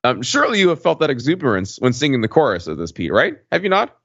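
A man's voice: medium pitch, huge pitch swings, fast talker, fairly bright tone, slightly rough, no echo, lo-fi sound. A man hosting a casual podcast discussion, prodding with questions and adding questioning tags to his sentences. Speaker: podcast host.

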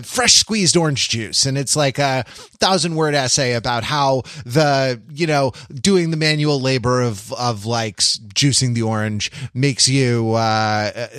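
A man co-hosting a podcast in casual chat, talking in a rambling, halting way and circling back to repeat phrases. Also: medium pitch, a bit bright, no echo, studio quality. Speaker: podcast co-host.

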